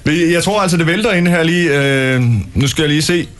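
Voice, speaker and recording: A man's voice: on the high side, fairly bright tone. A man hosting a casual morning radio interview from a small studio, talking into a studio microphone. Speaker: radio host.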